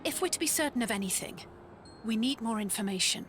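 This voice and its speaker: A woman's voice: fairly high pitch, animated, fast, fairly bright tone, faint music behind. An actress playing a composed, inquisitive adventurer in a video game, polite and businesslike, with faint ambience behind her. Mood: brisk, curious. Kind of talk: scripted video game voice acting.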